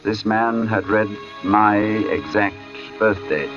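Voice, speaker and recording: An actor playing an uneasy artist who gravely recounts an eerie encounter in a 1940s-style radio drama. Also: male voice, on the low side, slow pace. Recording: dull old radio recording with music.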